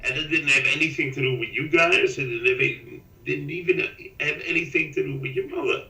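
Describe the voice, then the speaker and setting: An older man, a father, talks candidly with his son in a documentary interview, halting and repeating himself. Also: on the high side, expressive, bright, forceful tone, far from the mic. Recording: echoey room, away from the mic.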